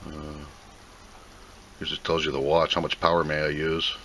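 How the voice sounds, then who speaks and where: Low-voiced man rambling casually. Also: completely flat delivery, normal speed, sharp tone. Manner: rambling, plain-spoken, thinking aloud. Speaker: video host reviewing a product. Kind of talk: casual unboxing commentary. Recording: clear background noise.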